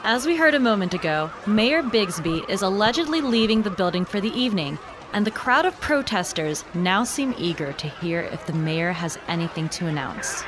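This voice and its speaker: A woman's voice: medium pitch, animated, moderate pace, noisy background, lightly acted. A woman playing a composed TV news reporter in an audio drama, reporting live in an articulate, urgent, professional way. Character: composed female TV reporter covering a tense protest.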